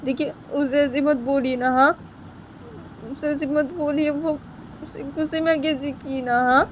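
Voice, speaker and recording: A woman voicing a protective young girl in a story, pleading softly and repetitively. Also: high-pitched, steady tone, unhurried, a little hiss or hum, pitched above the performer's own voice.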